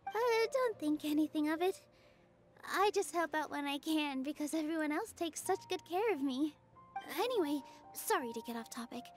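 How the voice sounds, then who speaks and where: An actress playing a sweet, modest young theater dancer in a video game, gentle, polite and earnest. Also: female voice, sits high, animated, normal speed. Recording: music playing behind the voice.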